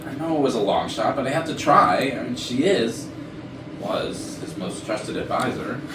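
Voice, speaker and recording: A man's voice: mid-range voice, animated, normal speed, natural voice. A man playing a defensive, smug corporate IT executive in a staged reading of a comic murder mystery. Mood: defensive, indignant. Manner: defensive, indignant. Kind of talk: staged reading of a comedy play. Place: echoey rehearsal room. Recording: roomy, distant, noisy rehearsal recording.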